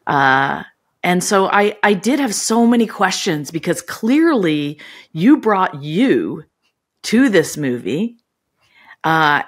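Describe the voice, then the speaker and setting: Low-voiced middle-aged woman hosting. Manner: earnest, curious, expressive. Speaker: podcast co-host. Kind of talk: casual interview chat. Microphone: video call, webcam.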